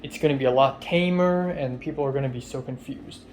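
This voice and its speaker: A man's voice: mid-range voice, fairly quick, a little mellow. Young man from Hong Kong, rambling video diary, blunt swearing, faint noise behind. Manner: rambling, frank, swears freely, many ums. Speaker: vlogger. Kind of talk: casual spoken diary.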